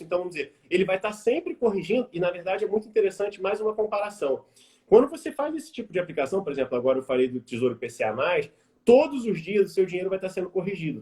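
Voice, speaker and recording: A young Brazilian man in his twenties, an investment advisor explaining things casually on a live stream, informal and quick with many fillers. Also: high-pitched, darkish tone. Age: 20s. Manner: quick, informal, addresses audience as "gente", many fillers like "vamos dizer". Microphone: video call.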